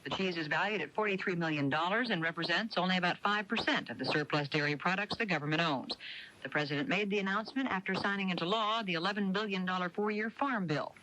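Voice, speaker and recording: A woman's voice: fairly low pitch, fast, sharp tone. An American woman reading scripted news as a radio reporter. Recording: dull, lo-fi AM radio broadcast.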